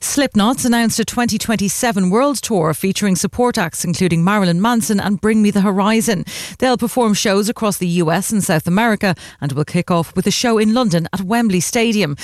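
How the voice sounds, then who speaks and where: Male radio newsreader, higher pitch, crisp read, recorded dry. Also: no room sound. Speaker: entertainment newsreader. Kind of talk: scripted news reading.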